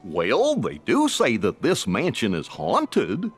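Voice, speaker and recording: A man's voice: mid-range voice, wildly swooping pitch, slow, over music. A man voicing a goofy, good-natured cartoon dog in a video game, with a gulping, chuckling drawl.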